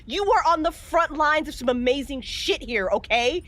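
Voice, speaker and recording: An actress playing a brash, foul-mouthed, pushy paranormal investigator in a horror audio drama. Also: female voice, high voice, moderate pace, fairly bright tone. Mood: excited, pushy.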